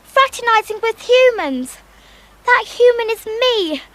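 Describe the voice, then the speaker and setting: A woman's voice: very high-pitched, lively intonation, slow pace, fairly bright tone, full character voice. An actress playing an earnest, kind-hearted little British schoolgirl in a radio drama. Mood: distressed, pleading.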